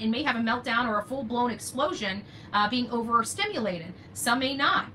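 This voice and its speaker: A woman's voice: fairly high pitch, bright, forceful tone, slight background noise, rough recording. An older woman hosting unscripted opinion commentary, emphatic and repeating key phrases for stress. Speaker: true-crime commentary host.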